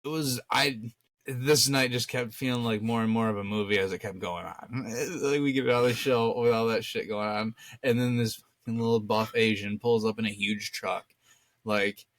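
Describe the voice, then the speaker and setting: Young man, casual storyteller, animated delivery, sweary slang, acts out quotes, medium pitch, dry close sound. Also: normal speed, no echo. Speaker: co-host.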